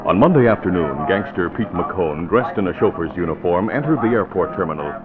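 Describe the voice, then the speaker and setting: Deep-voiced radio serial narrator, music behind. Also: male voice, lively intonation, brisk. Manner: formal, dramatic. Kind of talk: scripted old-time radio drama narration. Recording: narrow vintage radio recording with music.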